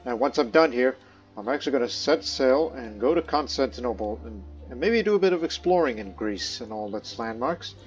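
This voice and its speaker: A man at a middle pitch gives loose, rambling commentary on a strategy game, full of fillers and restarts. The recording is slightly dull, with soft game music underneath. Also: animated, fairly quick. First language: not Croatian; studied Croatian as a second language. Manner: rambling, many fillers and restarts, speaks in character as his ruler. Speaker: let's play host. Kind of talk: casual gameplay commentary. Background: faint game music.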